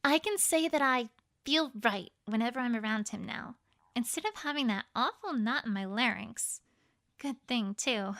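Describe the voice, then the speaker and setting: A woman's voice: fairly high pitch, normal speed, fairly bright tone. A woman voicing an earnest, bookish young female unicorn in a cartoon-pony story, explaining things carefully and a little formally. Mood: earnest, bashful.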